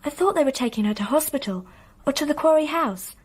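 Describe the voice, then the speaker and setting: A woman's voice: sits high, animated, fast. An actress playing a dreamy, trusting young girl in a radio play, speaking with earnest simplicity. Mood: earnest, recounting.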